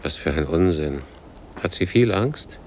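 A man's voice: sits low, lively intonation, measured pace, darkish tone, a little hiss or hum. An actor in a radio drama playing a gloomy, sardonic older man, terse and dry, often questioning.

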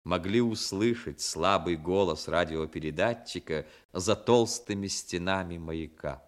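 A man narrating a 1960s radio play as a solemn, expressive storyteller. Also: low-pitched, a little slow. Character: solemn storyteller of a seaside tale. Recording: 1960s radio recording with music. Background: music.

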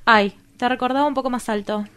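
An actress plays a frank, fussy Argentine woman in a radio play. Her voice sits fairly high, and she is direct, expressive and challenging with her lover. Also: fast, clean tone, close to an everyday voice. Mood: dubious, fussy. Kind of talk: radio drama acting.

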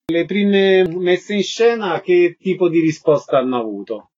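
Radio host guy, voice a bit high, doing interview questions, slightly lo-fi sound. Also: measured pace, darkish tone.

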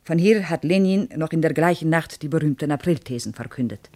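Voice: mid-range voice, animated, fairly quick, warm tone, natural voice. A performer playing an earnest, reverent female Soviet tour guide in a radio play, reciting history. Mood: earnest, proud.

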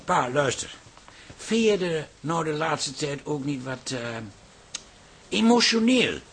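An actor playing an anxious, fussing, well-meaning husband in a Dutch radio comedy drama. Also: male voice, on the high side, animated, slow, a bit bright, slightly rough, exaggerated voice. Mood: anxious insistence. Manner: hesitant, insistent, fussing.

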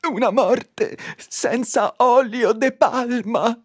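An actor playing an overwrought consumer zealot in a comic stage play. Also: male voice, very high-pitched, slow pace, husky, heavily acted. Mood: mock-solemn. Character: overwrought consumer zealot reciting advertising slogans.

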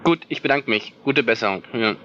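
A man's voice: mid-range voice, fairly quick, far from the mic, lo-fi sound, background noise. A man talking on the phone as a journalist, placating and somewhat hesitant. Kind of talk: phone conversation.

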